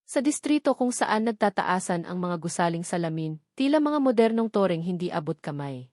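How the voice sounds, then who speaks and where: A woman's voice: lowish voice, sing-song, normal speed, darkish tone, no room sound. Filipino woman narrating in a dry recording. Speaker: story narrator. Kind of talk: scripted dramatic love story narration.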